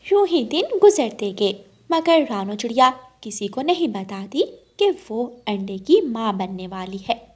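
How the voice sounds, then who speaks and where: A woman narrator tells a scripted cartoon tale. Her voice is high and somewhat breathy. The delivery is that of a storyteller reading. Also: big pitch swings, a little slow.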